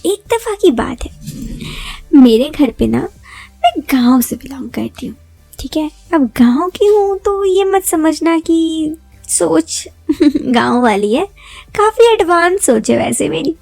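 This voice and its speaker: Young woman telling a chatty first person story, high pitched with some fry, talks right to the listener. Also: lively intonation, slow pace, a little mellow, faint music behind. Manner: conversational, confiding, with rhetorical asides to listeners.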